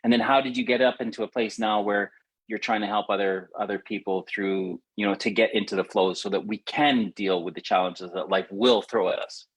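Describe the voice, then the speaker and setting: A man's voice: on the low side, steady tone, fairly quick, slightly rough, low-quality recording. A middle-aged man hosting a casual, reflective conversation, rambling and circling back to rephrase as he goes. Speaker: podcast host.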